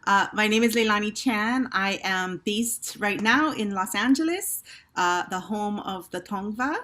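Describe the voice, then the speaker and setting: A woman's voice: mid-range voice, measured pace. Chatty woman in her fifties. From Hawaii. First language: Hawaii Pidgin Creole. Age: 50s. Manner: chatty and animated, with laughs and fillers. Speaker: theater company director. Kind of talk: panel introduction over video call. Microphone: video call.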